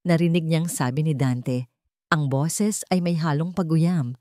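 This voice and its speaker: A woman narrating a scripted dramatic story in a measured delivery with deliberate pauses. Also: low-pitched, dark tone, no echo, clean studio sound. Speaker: audiobook narrator.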